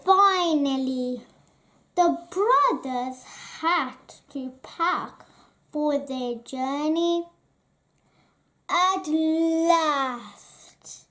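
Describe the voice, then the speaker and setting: A woman reading a children's story aloud in a deliberate, expressive way. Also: high-pitched. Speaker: storybook reader.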